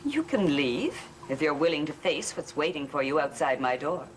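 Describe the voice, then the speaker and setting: A woman's voice: low voice, lively intonation, moderate pace. An actress playing a shrewd, composed woman in a gothic television soap, with a knowing, theatrical delivery. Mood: smooth, controlling. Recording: noisy old television soundtrack.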